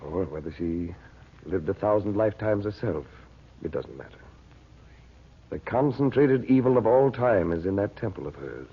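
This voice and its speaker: On a narrow, muffled vintage radio recording, a grave and resolute husband. His lowish voice is husky. He speaks solemnly and insistently, like a man who knows dark secrets. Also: expressive, normal speed. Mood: grave, ominous. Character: grave, resolute husband versed in occult evil. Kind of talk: 1940s radio drama acting.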